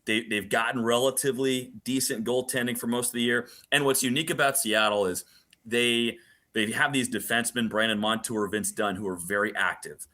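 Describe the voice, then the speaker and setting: A man's voice: medium pitch, fairly even intonation, a little fast, pushed and bright. A man speaking as a play-by-play announcer giving detailed, emphatic analysis in a sports radio interview.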